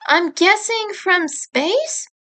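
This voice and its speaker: Woman voicing a very high, inquisitive creature girl. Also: expressive, very slow, pushed and bright, exaggerated voice. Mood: guessing. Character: curious young female hybrid creature, eager sister.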